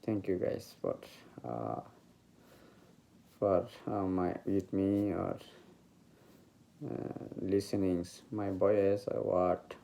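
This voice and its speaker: A man with a Bengali accent, speaking English as a later language, giving an informal spiritual talk about meditation, halting and searching for words. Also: low-pitched, creaky, dark tone. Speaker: meditation teacher.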